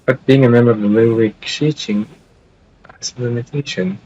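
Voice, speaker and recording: A man's voice: medium pitch, a little fast, mellow, slight background noise. A man streaming a video game, chatting casually and reading dialogue choices aloud into a headset. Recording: dull headset mic, game music behind.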